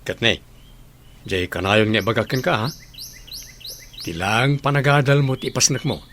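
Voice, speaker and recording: A man's voice: medium pitch, lively intonation, normal speed, a bit bright, slight background noise, close to an everyday voice. A man with a Filipino accent playing a stern, protective older brother in a radio drama. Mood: stern, caring.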